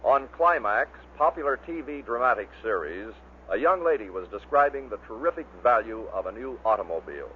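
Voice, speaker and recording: A man's voice: mid-range voice, expressive, brisk. Tinny vintage American male narrator. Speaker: narrator of a bloopers record. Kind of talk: scripted narration introducing clips. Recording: tinny band-limited vintage record, faint noise.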